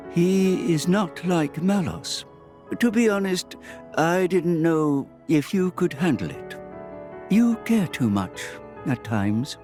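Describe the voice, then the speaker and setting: A man playing a wise, gently stern old grandfatherly mentor in a video game, measured and solemn. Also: on the high side, lively intonation, faint music behind. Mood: grave. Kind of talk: dubbed video game cutscene acting.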